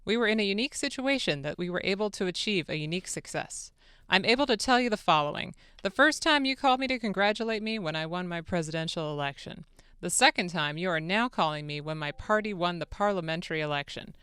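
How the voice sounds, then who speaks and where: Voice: medium pitch, quick pace, bright, forceful tone, clear, resonant tone, no echo, lightly acted. A radio producer doing a dramatic reading as an eager, deferential male foreign president, with emphatic, earnest delivery. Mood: eager flattery. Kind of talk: dramatic reading of a phone-call transcript.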